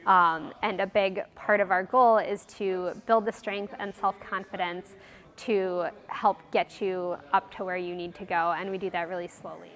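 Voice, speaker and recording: A woman talking casually about running her aerial arts studio, friendly and upbeat. Her voice is smooth and clear, with a mid-range pitch. There is a long hall reverb behind her. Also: a bit bright. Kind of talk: casual interview answers.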